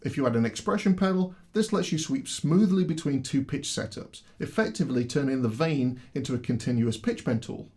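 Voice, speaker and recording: A man delivers scripted explanatory narration for a product demo. His voice sits at a medium pitch. He takes the listener through things step by step in a clear, instructional way. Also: expressive, a little fast, hardly any pauses, darkish tone.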